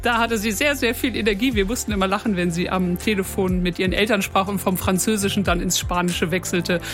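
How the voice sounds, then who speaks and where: A woman's voice: medium pitch, fairly even intonation, fairly quick, soft background music. A woman, a voice and singing coach, explaining things conversationally as a radio guest. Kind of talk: radio interview.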